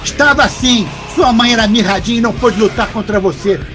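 A man's voice: very high voice, a little fast, music in the background. A man playing a brutal, threatening middle-aged father in an audio drama, cursing and barking orders. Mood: bitter, angry.

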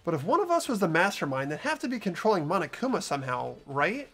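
Casting: woman as everyman schoolboy, animated pitch, earnest, questioning, a bit hesitant. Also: quick pace, husky, soft background music, full character voice. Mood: doubtful, earnest. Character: earnest, hesitant ordinary teenage boy. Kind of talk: amateur visual novel voice dub.

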